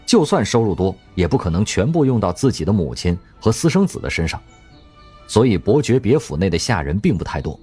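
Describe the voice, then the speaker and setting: A man narrating an audio drama in a wry, expressive storytelling manner. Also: fairly low pitch, a little fast, husky. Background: faint background music.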